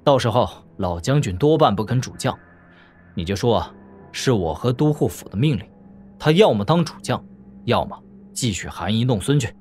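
An actor playing a calm, assured young lord who commands troops with casual authority in an audio drama. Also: male voice, medium pitch, moderate pace, soft background music, natural voice. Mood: calm, reassuring. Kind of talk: Chinese audio drama acting.

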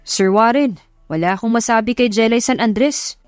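A woman's voice: fairly high pitch, moderate pace, no room sound, clean studio sound, soft background music, close to an everyday voice. A woman voicing an impressed private investigator reporting emphatically to a client in an audio love story. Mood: amazed, reporting.

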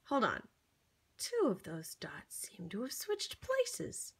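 Female children's storybook reader, high voice, very slow delivery, theatrical and encouraging, talks straight to the child. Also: wildly swooping pitch, slight background noise. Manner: theatrical, with exaggerated gasps and exclamations; encouraging, coaxing, addressing the child listener. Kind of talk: interactive picture-book read-aloud for young children.

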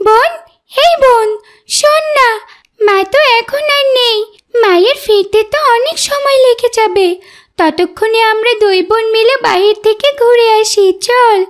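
A tiny, restless girl duckling in an animated fairy tale. The voice is extremely high and childlike, with a naive, pleading, headstrong way of talking. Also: unhurried. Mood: eager, coaxing.

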